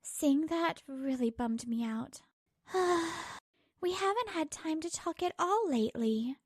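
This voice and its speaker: A woman's voice: high-pitched, expressive, takes it slowly, dry recording, clean studio sound. A woman playing a hurt, betrayed young wife who narrates her troubles emotively in a manga dub. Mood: downcast, wistful. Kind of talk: dramatised first-person manga dub narration.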